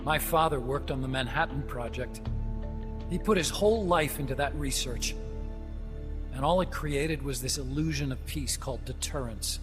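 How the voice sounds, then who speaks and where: An actor playing a nervous, guilt-ridden young scientist in a game cutscene, explaining quickly and at times slipping into subdued hesitation. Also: male voice, mid-range voice, close to an everyday voice. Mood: bitter, subdued. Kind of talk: video game cutscene acting. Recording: music playing underneath.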